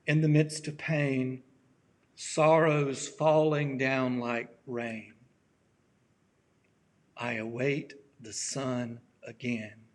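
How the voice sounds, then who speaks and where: Slow, raspy-voiced man preaching gently. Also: medium pitch, flat delivery. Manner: gentle, halting, with many pauses and fillers. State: allergies acting up. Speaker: pastor. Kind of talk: slow reflective sermon.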